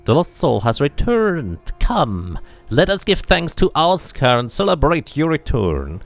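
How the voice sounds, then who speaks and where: A man's voice: fairly high pitch, wildly swooping pitch, a little slow, vocal fry, faint music behind, pitched above the performer's own voice, full character voice. A man voicing a zealous male priest preaching fervently about a god of portals. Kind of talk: video game character reading.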